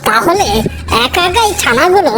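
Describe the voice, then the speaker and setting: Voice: very high-pitched, expressive, normal speed, poor audio. An actor voicing a sly, greedy, bullying fox in a children's cartoon, with a taunting, threatening manner. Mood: scheming, greedy. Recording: music behind the voice.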